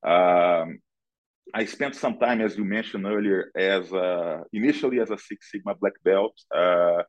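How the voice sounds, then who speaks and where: A man's voice: deep voice, measured pace, highs cut off. A middle-aged man with a Brazilian accent giving conversational interview answers as a guest, hesitantly searching for words and often checking in with "right?". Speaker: podcast guest, analytics director.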